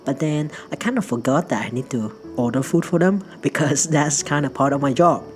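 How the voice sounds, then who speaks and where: Native vietnamese speaker from perth telling a silly story, jokey and self-deprecating, mid-pitched voice, music low in the back. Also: brisk, a little mellow. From Perth, Australia. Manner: playful, self-mocking, laughs often. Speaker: healthcare worker. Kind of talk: casual storytelling narration.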